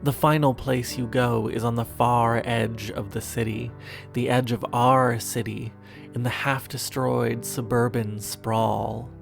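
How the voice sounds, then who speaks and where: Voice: medium pitch, level tone, vocal fry. A performer voicing a wistful narrator of scripted audio fiction, speaking intimately and reflectively to a lost lover over music. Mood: reflective, questioning. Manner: reflective, measured, with rhetorical questions. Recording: music under the voice.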